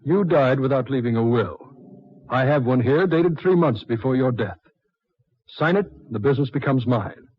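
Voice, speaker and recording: An actor in a 1940s radio drama playing a scheming, murderous doctor who is cold but easily rattled. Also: male voice, medium pitch, expressive, moderate pace, natural voice. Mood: coldly bargaining. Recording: dull, muffled 1940s radio recording.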